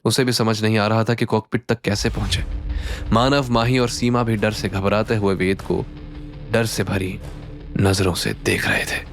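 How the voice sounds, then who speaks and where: A man with a fairly low voice narrates a scripted audio drama as its storyteller. His delivery is dramatic, with music behind him. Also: fairly even intonation, moderate pace.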